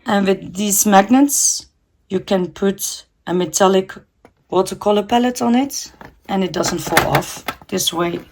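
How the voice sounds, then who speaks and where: A woman in her forties from the Netherlands with a Dutch accent, giving a shy, halting, earnest tour of her home art studio. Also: medium pitch, a little mellow, slight background noise. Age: 40s. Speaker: vlogger. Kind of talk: casual studio tour chat. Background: guitar music.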